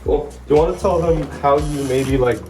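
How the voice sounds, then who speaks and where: A man's voice: a little high, moderate pace, a little mellow, faint music behind. A man working as a videographer outdoors, giving brief, easygoing replies in casual chat. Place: outdoors at a house.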